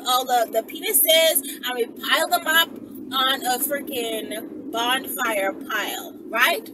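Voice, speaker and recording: A woman giving a casual, rambling storytime monologue as a channel host, emphatic and often checking in with the listener. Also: high-pitched. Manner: emphatic, checks in with "okay" and "right". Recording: distant mic, loud vehicle-like ambient noise.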